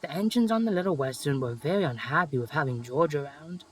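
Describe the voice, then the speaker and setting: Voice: medium pitch, animated, a little fast, low-quality recording. A narrator reading a scripted children's story, with music playing behind.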